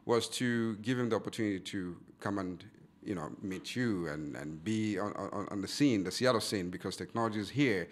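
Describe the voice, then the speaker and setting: Zambian-born male guest, gracious storyteller, lower register. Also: normal speed. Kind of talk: casual interview chat. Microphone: studio mic.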